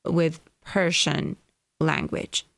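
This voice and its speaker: A woman's voice: low voice, very animated, drawn-out words, vocal fry, fairly bright tone, no echo. A young woman from Iranian Kurdistan, a native Kurdish speaker with a Kurdish accent, answering interview questions hesitantly and searching for words. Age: young adult. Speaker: guest.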